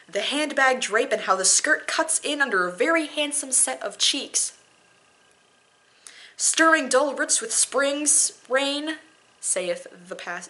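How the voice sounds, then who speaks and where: A woman's voice: a little high, bright, forceful tone, low-quality recording. A woman doing an amateur dramatic poetry reading with casual asides, theatrical and self-deprecating in manner.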